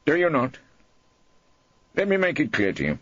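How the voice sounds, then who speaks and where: Male reader as combative old English barrister, sardonic, theatrical, husky, widely swooping delivery, audiobook. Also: a little high, huge pitch swings, normal speed, a bit bright, no echo, dull audio, voice pushed up, exaggerated voice. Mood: hectoring. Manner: theatrical, sardonic, hectoring in cross-examination. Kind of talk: audiobook character acting.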